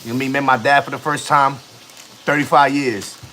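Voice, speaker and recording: A man's voice: mid-range voice, steady tone, fairly quick, pushed and bright, a little hiss or hum. A man in his thirties, a family vlogger, chatting casually on a live stream in slang, rambling and chatty. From United States. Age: 30s.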